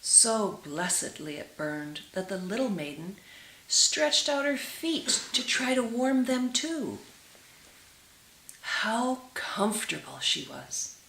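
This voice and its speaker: A woman reading a storybook aloud for children as a storyteller, with dramatic, drawn-out emphasis. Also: medium pitch, a bit bright. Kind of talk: expressive storybook read-aloud for children, with brief asides. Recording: roomy echo with clear hiss.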